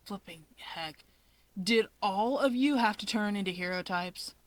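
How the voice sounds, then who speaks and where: A woman reading fan fiction aloud as an expressive narrator. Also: medium pitch, a little slow, no room sound.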